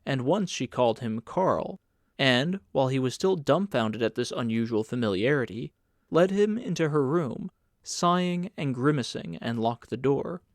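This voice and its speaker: A man's voice: mid-range voice, animated, moderate pace, no echo, studio quality. A man reading literary fiction as a scripted audiobook narration.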